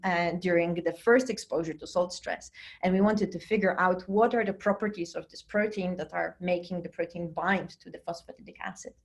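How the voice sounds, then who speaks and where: A woman in her thirties from Poland, whose first language is Polish, giving an informal online science lecture to students, with lots of fillers and restarts. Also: medium pitch. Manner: conversational, frequent fillers and self-restarts. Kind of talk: recorded virtual lecture. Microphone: computer mic on a video call.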